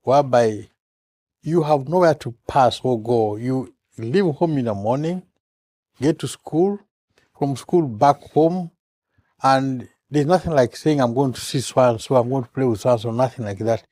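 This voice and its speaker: A man's voice: medium pitch, moderate pace, mellow, dry recording. Ugandan man telling stories as a talk guest. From eastern Uganda. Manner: humorous, storytelling. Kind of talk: casual interview.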